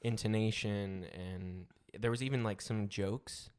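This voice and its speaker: This is a man co-hosting a tech podcast, and his voice sits on the low side. He explains the news casually, trails off, and hedges with "like" and "I guess.". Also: fairly even intonation, measured pace, a little mellow, smooth. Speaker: podcast co-host.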